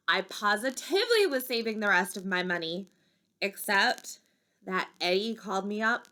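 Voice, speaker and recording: A woman reading a children's story aloud expressively, with dramatic pauses and emphasis. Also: medium pitch, bright, forceful tone. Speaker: storybook reader.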